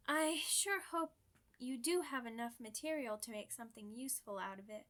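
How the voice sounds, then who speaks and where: Genteel immortal vampire lady, played by a woman, ASMR roleplay interviewee: high voice, polite, chatty, quietly proud. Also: natural voice. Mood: polite, earnest. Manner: genteel, conversational, slightly formal phrasing.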